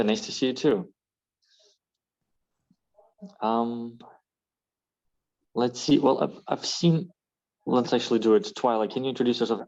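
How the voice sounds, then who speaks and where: Meeting host from Ukraine, low and hesitant, over dull video-call audio. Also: male voice, gravelly, a little mellow. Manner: hesitant, friendly, many fillers. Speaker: club president hosting the meeting. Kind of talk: online meeting host chat.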